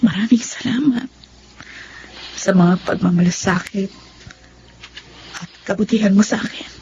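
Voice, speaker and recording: An actress playing a gentle, grateful expectant mother in a radio drama, speaking reflectively and from the heart. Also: medium pitch, slight background noise, natural voice. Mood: tender, grateful. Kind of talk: Tagalog radio drama acting. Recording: muffled, clear music bed.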